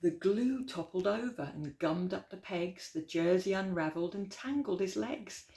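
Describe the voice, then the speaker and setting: A woman reading a rhyming children's picture book aloud as a storytime host, with expressive, dramatic emphasis. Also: mid-range voice, normal speed. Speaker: library storytime reader. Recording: roomy, echoey indoor sound.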